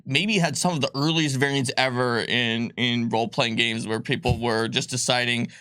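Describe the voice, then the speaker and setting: A man co-hosts a casual livestream, talking through game rules at an average pitch. He rambles and corrects himself as he goes, with plenty of ums. His voice sounds close and dry, with no room around it. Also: steady tone, normal speed, pushed and bright, clear, resonant tone, no room sound. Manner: loose and rambling, with many ums and self-corrections. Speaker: co-host presenting game rules.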